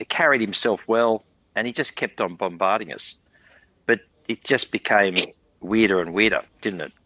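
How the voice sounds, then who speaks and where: A man's voice: on the low side, pushed and bright, no room sound, dull audio. An older man chatting as an interview guest, rambling through memories with jokey asides. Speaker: interview guest, industry veteran. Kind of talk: casual chat, reminiscing.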